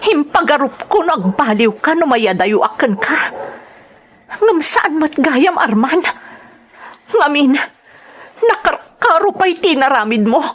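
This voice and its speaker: An actress playing a wronged, resolute wife in an Ilocano radio drama, with an Ilocano accent. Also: female voice, high voice, very animated, a little slow, pauses often. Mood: hurt, accusing. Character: wronged, resolute wife confronting her unfaithful husband.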